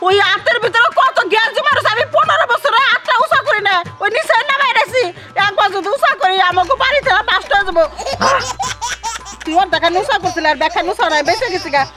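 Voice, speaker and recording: A woman's voice: extremely high pitch, expressive, fast. A woman voicing a brash, scolding village mother in a comic cartoon, with a rural Bangladeshi accent. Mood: indignant. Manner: scolding, coarse, boastful. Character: brash, heavyset village mother fiercely defending her son.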